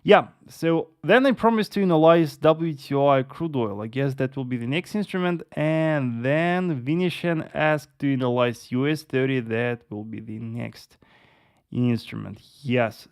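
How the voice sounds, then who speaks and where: Gravelly man running a live trading stream. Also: mid-range voice, unhurried. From Russia. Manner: repeats words, addresses viewers as traders, many yes and uh fillers. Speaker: trader hosting a live stream. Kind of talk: live market analysis with chat Q&A.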